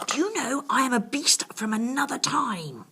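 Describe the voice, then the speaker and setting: A woman's voice: a little high, very animated, moderate pace, bright, forceful tone, a little hiss or hum, pitched above the performer's own voice. Woman voicing a quavering, plaintive ancient male dragon. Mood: mournful. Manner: plaintive, grandiose old-fashioned speech. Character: weepy, self-pitying male dragon, ancient, turning slyly menacing. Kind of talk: storybook character reading.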